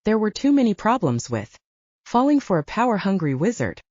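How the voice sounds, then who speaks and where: A woman's voice: medium pitch, very animated, brisk, darkish tone, dry recording, highs cut off, pitched above the performer's own voice. A woman voicing a cheeky, flirty male wizard who talks in a teasing, breezy way. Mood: teasing, wistful.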